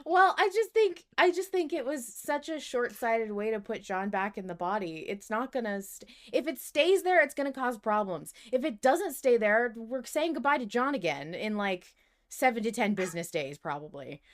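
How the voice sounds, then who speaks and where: A woman's voice: fairly high pitch, lively intonation, moderate pace. A woman co-hosting a podcast, talking casually about a fiction show and reasoning things through in a wry, pragmatic way. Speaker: podcast co-host.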